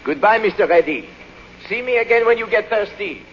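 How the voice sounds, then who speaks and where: A fairly high-voiced secret police sergeant, oily and mocking. He speaks in radio-play style on a muffled, faintly noisy 1940s recording. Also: expressive, a little fast.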